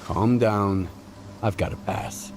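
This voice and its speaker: A determined, serious stalker in a video game. His voice is low and plain-spoken, with few words. It carries long reverb over noticeable ambient noise. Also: takes it slowly. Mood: firm. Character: serious, determined male stalker questioning others. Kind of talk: video game cutscene acting. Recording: reverberant hall, clear background noise.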